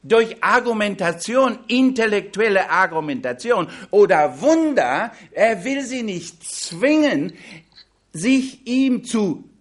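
High-voiced, slow-speaking male preacher. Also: expressive. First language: not German. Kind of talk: sermon on a Bible passage.

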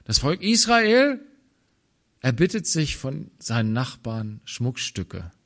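A man from Germany giving a thoughtful sermon, pausing and restarting, sometimes dropping into quiet low asides. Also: sing-song. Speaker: preacher.

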